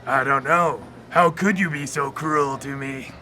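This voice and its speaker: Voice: medium pitch, steady tone, measured pace, bright, forceful tone. An actor playing a sardonic, brooding demon who corrupts souls, sarcastic and theatrical, in a live radio drama. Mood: wry.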